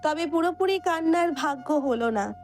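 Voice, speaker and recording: A woman narrates a romantic audio story in a high-pitched voice. She reads from a script, and music plays underneath her. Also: expressive, normal speed. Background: background music.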